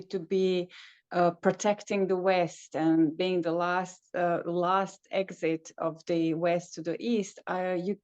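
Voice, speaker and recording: A middle-aged woman from Croatia, a travel consultant chatting as a guest and often pausing to search for words. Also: on the low side, a little mellow, clean tone. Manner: hesitant, searching for words with many fillers. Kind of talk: casual interview chat. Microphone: video call. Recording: dull lo-fi call audio.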